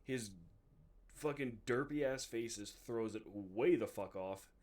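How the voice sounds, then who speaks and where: A man's voice: medium pitch, slow pace, darkish tone. Exasperated, swearing man, distant from mic. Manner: profane, exasperated, emphatic. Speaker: video host reviewing movies. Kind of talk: casual opinionated rant to camera.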